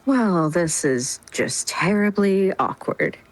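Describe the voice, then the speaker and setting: A woman's voice: medium pitch, animated, takes it slowly, rough recording. A woman reading scripted story narration expressively in a dramatic reading. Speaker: reader in a group dramatic reading.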